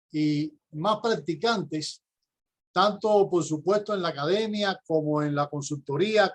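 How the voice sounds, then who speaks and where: A middle-aged man from Cuba with a Cuban accent, giving a prepared conference lecture as a university professor in a measured, explanatory way with occasional hesitations. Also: sits high.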